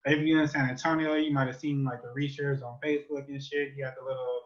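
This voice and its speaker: A man's voice: medium pitch, flat delivery, fast talker. A man chatting casually as a podcast co-host, informal and slangy with easy swearing, on dull lo-fi audio. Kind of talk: casual podcast shout-outs.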